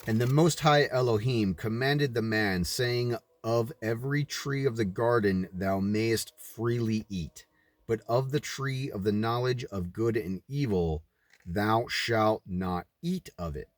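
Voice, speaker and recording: A man reading a religious book aloud in deliberate, emphatic phrases, breaking into informal commentary as he goes. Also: fairly low pitch, no echo. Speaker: reader of a religious book, adding asides.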